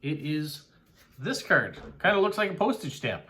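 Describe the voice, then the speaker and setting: A man's voice: a little high, sing-song, normal speed, bright, forceful tone. A middle-aged Canadian man, a mail carrier, chatting casually as he unboxes postcards, thanking each sender with many "uh" fillers.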